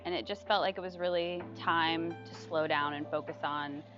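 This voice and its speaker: Young woman chatting about her stay, kinda low voice, lots of ums and little laughs, music playing behind. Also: fairly even intonation, normal speed, highs cut off. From United States. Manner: chatty, with fillers and soft laughs. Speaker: retreat guest giving a testimonial. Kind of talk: casual testimonial interview. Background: background music.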